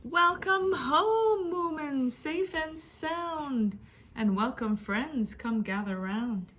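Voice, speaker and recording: A woman storyteller giving a dramatic reading of a rhyming picture book, expressive, with hushed and emphatic passages. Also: high voice, drawn-out words. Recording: faint background noise.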